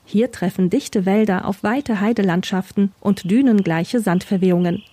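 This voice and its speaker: Dark-voiced woman reporting for radio. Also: mid-range voice, moderate pace, no echo. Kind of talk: scripted narration.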